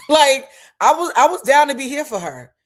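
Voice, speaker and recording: A husky-voiced host in their forties talks straight to the camera in a loose, unplanned vlog. The delivery is chatty and frank, with plenty of casual swearing. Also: high voice, big pitch swings, normal speed, a bit bright. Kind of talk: casual impromptu chat.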